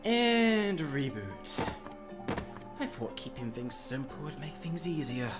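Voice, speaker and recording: A man's voice: a little high, very animated, slow pace, loud music behind the voice. A man voicing a scheming mad-scientist platypus in a comic dub, pompous, articulate and condescending in a theatrical way. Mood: musing, frustrated.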